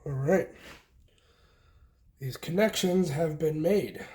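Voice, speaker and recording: A man with a folksy, unhurried way of thinking aloud as he hosts a casual hands-on DIY demonstration. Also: fairly high pitch, dark tone. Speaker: host of a homesteading DIY vlog.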